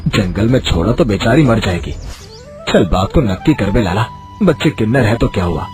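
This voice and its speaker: A man's voice: on the low side, animated, normal speed, natural voice. An actor playing a kind-hearted older village father with a rustic, colloquial, bantering way of talking in an acted story. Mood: pitying, folksy. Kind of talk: Hindi moral story acting. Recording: music behind the voice.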